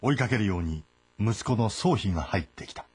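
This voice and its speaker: A man giving measured, solemn scripted narration of a historical tale. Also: lowish voice, animated, a little mellow, slight background noise. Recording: narration over background music.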